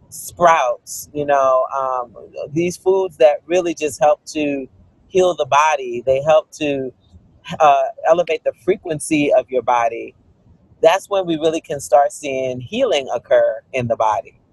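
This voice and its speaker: Guest: earnest man from Guyana with a fairly high voice, recorded dry and close. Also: vocal fry, dry recording, low-quality recording. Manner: earnest, explanatory, frequent "you know" fillers. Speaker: cookbook author and guest. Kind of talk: interview conversation.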